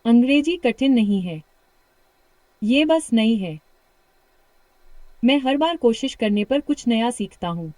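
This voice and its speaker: A British-accented woman leads a speaking drill, cheering the listener on and repeating each practice line slowly and clearly. Her voice sits a little high. The sound is close and dry, with no room around it. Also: lively intonation, no echo. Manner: warm encouragement, slow repeated practice sentences. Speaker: English speaking coach. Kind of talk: guided shadowing practice lesson.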